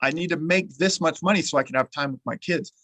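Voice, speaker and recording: A man's voice: on the high side, fast talker, fairly bright tone, no echo. A man hosting a podcast interview, talking in a loose, rambling way full of restarts and "uh". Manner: rambling and repeats his words, many "uh" restarts. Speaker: podcast host. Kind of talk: conversational interview.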